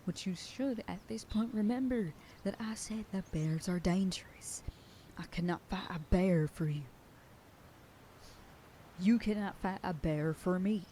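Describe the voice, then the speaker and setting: Woman voicing a stern but loving werewolf sheriff girlfriend, soft whispers, ASMR roleplay, faint noise behind. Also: on the low side, unhurried. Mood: scolding. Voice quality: soft whisper in quieter passages. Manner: scolding, then softening into tender whispers. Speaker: voice actor in an audio roleplay. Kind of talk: ASMR roleplay, speaking to the listener.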